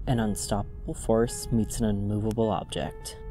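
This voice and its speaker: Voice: medium pitch, fairly even intonation, moderate pace, dark tone, natural voice. An actor playing a fretful, sensible young friend in an audio drama, earnest and mildly exasperated. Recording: music under the voice.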